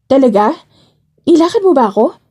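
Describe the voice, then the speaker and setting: A woman with a Filipino accent voicing an eager, playful young jobseeker in an audio drama, casual and expressive. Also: sits high, brisk, pitched above the performer's own voice. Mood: excited.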